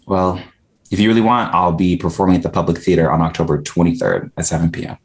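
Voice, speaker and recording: Casting: a man as a sincere male poet, low-pitched, opinionated yet courteous, for a drama read. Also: fast talker, close to an everyday voice. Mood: friendly. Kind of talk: screenplay table read.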